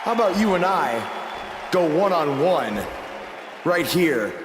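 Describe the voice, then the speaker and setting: Cocky sarcastic newcomer wrestler, echoing arena. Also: male voice, high-pitched, very animated, takes it slowly, a crowd in the background, heavily acted. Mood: confident. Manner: sarcastic, casual mocking. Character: cocky young male underdog wrestler, sarcastic challenger. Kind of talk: wrestling promo acting. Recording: echoey noisy arena.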